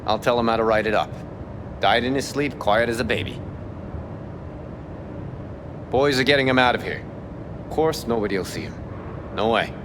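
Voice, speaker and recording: A man's voice: mid-range voice, a little fast, natural voice. Man playing a jaded official over street noise. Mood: offhand, cynical. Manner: matter-of-fact, offhand. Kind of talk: video game voice acting.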